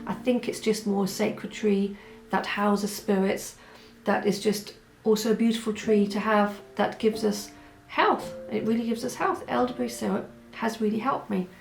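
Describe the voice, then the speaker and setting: A woman who is hoarse from a cold, vlogging in a chatty, rambling way with many asides. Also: mid-range voice, steady tone, moderate pace, slight background noise. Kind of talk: casual chat. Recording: roomy echo with faint music.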